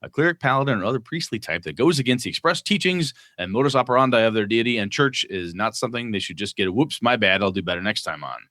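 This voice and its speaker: A man's voice: mid-range voice, animated, fast, hardly any pauses, bright, forceful tone, no room sound, clean studio sound. A man co-hosting a casual gaming podcast, reading listener letters aloud with jokey asides.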